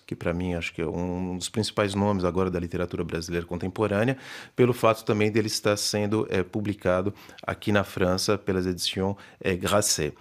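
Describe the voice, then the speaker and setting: A literature professor answering radio interview questions in a measured way, with many hesitations and restarts. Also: male voice, low voice. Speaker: literature professor and festival organizer.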